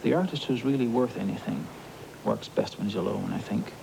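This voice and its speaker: Very gravelly man on noisy tape. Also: fairly low pitch, brisk. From Newfoundland, Canada. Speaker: novelist being interviewed. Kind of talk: reflective interview talk. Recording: noisy archival documentary tape.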